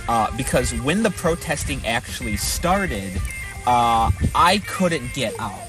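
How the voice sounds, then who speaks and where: Halting man recounting casually over music. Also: mid-range voice, big pitch swings. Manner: halting, repeats words while searching. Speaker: storyteller recounting a protest. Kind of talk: casual unscripted recollection.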